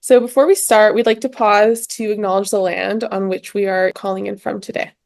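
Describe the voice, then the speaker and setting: A woman's voice: mid-range voice, steady tone, brisk, vocal fry, darkish tone, dry recording, clean studio sound. A young woman, hosting a prepared podcast interview as a student interviewer. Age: young adult.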